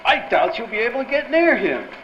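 An actor playing a skeptical newspaper boss heard over a telephone in a vintage-style radio drama. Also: male voice, extremely high pitch, very animated, a little fast. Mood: skeptical, brisk. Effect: telephone filter. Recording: muffled, noisy telephone voice.

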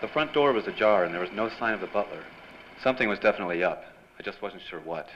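An actor playing a wisecracking, hardboiled newspaper reporter in a 1940s-style noir radio drama. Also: male voice, fairly low pitch, fast, lightly acted. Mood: wry, narrating. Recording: dull, faintly noisy stage recording.

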